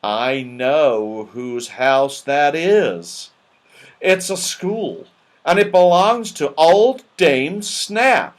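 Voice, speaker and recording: An anxious little fairy fellow from a children's storybook, acted out by a man. He is earnest and emphatic, speaking in a raised pitch with big swoops and a slow, drawn-out pace. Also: lively intonation. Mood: alarmed. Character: Moonface, male fairy folk companion, anxious and earnest.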